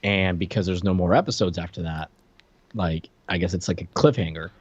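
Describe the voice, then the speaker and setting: Lowish guy rambling and joking on a podcast, dry close sound, kinda lo-fi. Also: fairly quick, breathy edge, dry recording. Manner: informal, rambling, jokey. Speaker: podcast co-host. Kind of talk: casual review chat. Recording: slightly dull, lo-fi sound.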